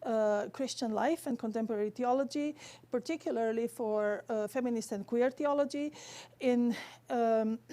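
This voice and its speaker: A woman with a Romanian accent giving an academic theology lecture in careful, halting, scholarly phrasing. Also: on the high side, warm tone.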